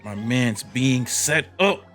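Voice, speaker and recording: A man's voice: mid-range voice, expressive, slow, fairly bright tone, soft background music. An American man giving casual, slangy reaction commentary as a gaming streamer. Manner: slangy, colloquial.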